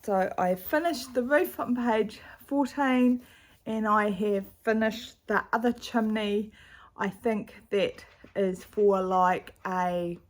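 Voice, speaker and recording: A middle-aged woman with a slightly croaky voice, chatting casually at home about her cross-stitch projects with a rambling, chatty manner, recorded on a camera's built-in mic. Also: mid-range voice, slow. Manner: chatty, rambling, with fillers and asides. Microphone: camera's built-in mic, no external microphone.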